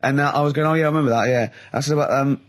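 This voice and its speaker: A man co-hosting a radio show in casual comic banter, excitable and teasing. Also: a little high, very fast. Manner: excitable, teasing, mimics others in quotes. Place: radio studio. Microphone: studio microphone.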